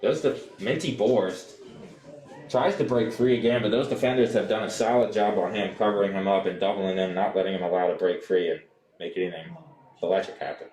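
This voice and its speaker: A young adult man doing live soccer play-by-play for radio, describing the action in an animated way. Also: low voice, a little fast, roomy sound, poor audio. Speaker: play-by-play sportscaster.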